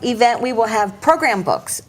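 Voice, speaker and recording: A woman's voice: on the high side, expressive, normal speed. A middle-aged woman appearing as a guest on a studio talk show, speaking upbeat about her charity's event. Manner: upbeat and promotional. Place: television studio set.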